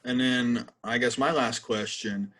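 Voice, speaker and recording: An American man speaks as a podcast co-host. His pitch is average for a man, and his delivery is casual and conversational. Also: fairly even intonation, unhurried, pushed and bright. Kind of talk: casual interview chat.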